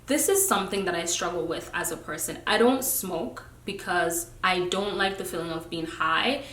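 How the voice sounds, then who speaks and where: Animated young woman ranting in an echoey room. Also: lowish voice, moderate pace. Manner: animated, preachy, emphatic, with bursts of laughter. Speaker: vlogger. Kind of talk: candid casual rant to camera.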